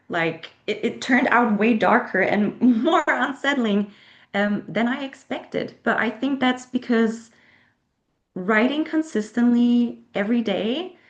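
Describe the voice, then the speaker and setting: A woman whose first language is German, an author answering interview questions thoughtfully, with frequent fillers and soft laughs. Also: medium pitch, highs cut off. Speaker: author guest.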